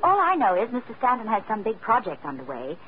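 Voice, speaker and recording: Expressive young secretary, noisy old radio. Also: female voice, a little high, fast talker, phone-quality sound. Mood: earnest. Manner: earnest, expressive, questioning. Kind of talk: old-time radio drama acting.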